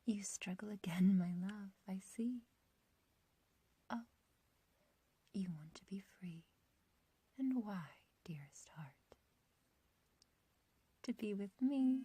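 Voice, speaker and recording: A woman's voice: medium pitch, slow, pauses often, warm tone, no echo. A woman playing an ancient but youthful witch in a whispered ASMR roleplay, obsessively loving and possessive, with archaic, intimate phrasing. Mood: adoring, possessive. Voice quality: breathy, whispery.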